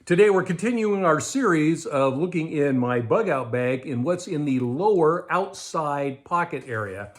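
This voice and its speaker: A middle-aged American man with a slightly higher-pitched voice presents to camera as a show host. He talks in an informal, explanatory way, as if walking a viewer through a product. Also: animated, unhurried, few pauses. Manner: conversational, explanatory. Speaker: host of a budget prepping show. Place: indoors in a garage or storage room with shelves.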